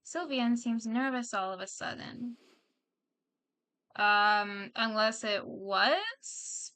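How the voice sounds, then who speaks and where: Casual female game streamer, higher-pitched and bright, taking her time, dry close sound. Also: expressive, slow, clean tone, no echo. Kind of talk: casual live commentary over game dialogue.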